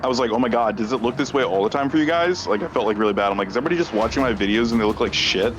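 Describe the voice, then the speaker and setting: A man talks casually, the way a livestreamer does with friends. His voice sits at a medium pitch, and his talk rambles in a self-deprecating way. Music plays clearly behind him. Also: very fast, highs cut off.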